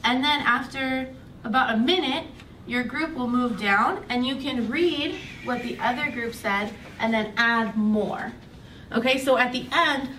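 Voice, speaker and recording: A woman's voice: on the high side, fairly bright tone. A woman teacher explaining a class activity with encouraging, hesitant phrasing. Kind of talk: classroom instructions. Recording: echoey room, distant mic, faint noise.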